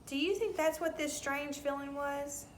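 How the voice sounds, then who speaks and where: A woman's voice: high voice, normal speed. A woman reading a children's story aloud expressively, with simple teacherly asides. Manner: expressive, explains words to children. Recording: roomy echo, some background noise.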